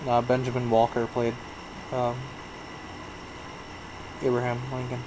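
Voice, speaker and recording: A man's voice: mid-range voice, monotone, measured pace, background noise. A man co-hosting a casual movie review podcast, laid-back and hesitant. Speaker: podcast co-host.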